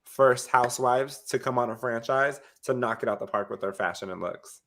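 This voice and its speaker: A man's voice: medium pitch, normal speed, a bit bright. Stuffy-nosed man giving blunt opinions. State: stuffed-up nose. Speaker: podcast co-host. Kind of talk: casual reality TV recap chat.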